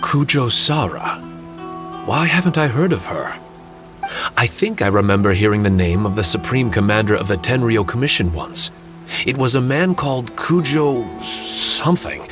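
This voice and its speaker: Man acting a samurai warrior, medium male pitch, lively swings, musing, earnest, music clearly behind. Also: lightly acted. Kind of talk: video game voice acting.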